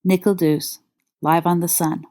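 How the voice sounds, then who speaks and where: A woman reading credits and a journal entry from a fan story aloud in a wry, measured way. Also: lowish voice, level tone, warm tone. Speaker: podfic reader.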